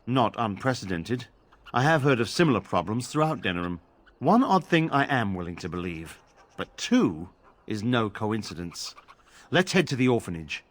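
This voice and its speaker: An actor playing a grave, pious templar in a fantasy video game, speaking formally and solemnly. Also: male voice, fairly low pitch, sing-song, normal speed. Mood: grave, hopeful. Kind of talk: video game drama acting.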